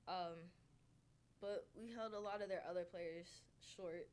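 Young woman college hooper at a postgame presser, kinda low voice, bit of room noise. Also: steady tone, a little slow, darkish tone. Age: young adult. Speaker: college basketball player. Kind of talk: post-game press conference answers. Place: indoor press conference room, seated at table.

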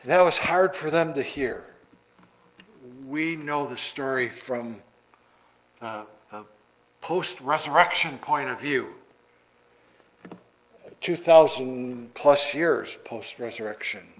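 A middle-aged man preaching a sermon from the pulpit in a church sanctuary, deliberate and repeating words for emphasis. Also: medium pitch, rough recording. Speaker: pastor. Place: church sanctuary, large indoor room.

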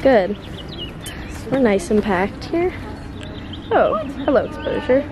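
A woman's voice: fairly high pitch, sing-song, takes it slowly, noisy background. A young woman vlogging casually on a handheld camera, talking with a slight lisp. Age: young adult. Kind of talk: casual vlog narration.

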